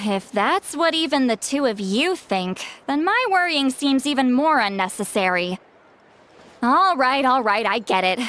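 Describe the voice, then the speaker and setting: A woman's voice: high voice, fairly bright tone, exaggerated voice. A woman voicing a proud, grumpy, youthful-sounding video game character who grumbles in a huffy, dramatic way.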